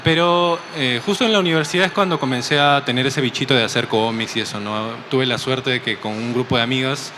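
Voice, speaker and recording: A man from Peru with a Peruvian Spanish accent, a comic artist guest talking softly and thoughtfully in a casual interview. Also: mid-range voice, fairly quick, few pauses. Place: busy convention hall. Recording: noisy convention floor. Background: crowd chatter of a convention.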